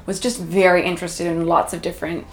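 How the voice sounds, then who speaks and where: A woman's voice: on the low side, level tone, fast talker, poor audio. A woman from Canada, a guest in an interview, talking in a reflective, chatty way with many fillers. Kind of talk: conversational interview. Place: room in Toronto.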